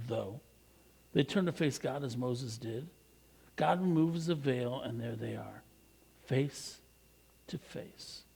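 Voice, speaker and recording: American male pastor for scripture narration; husky, gravelly tone, emphatic and deliberate phrasing. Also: mid-range voice, a little mellow. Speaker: pastor reading scripture aloud. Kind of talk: expressive scripture reading.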